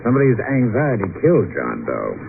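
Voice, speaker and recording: Clipped police lieutenant, medium pitch, varied intonation, matter-of-fact, vintage radio drama, narrow tinny band. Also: male voice, wildly swooping pitch, a little fast, vocal fry, heavily acted. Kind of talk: old-time radio drama acting. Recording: tinny, noisy old radio recording.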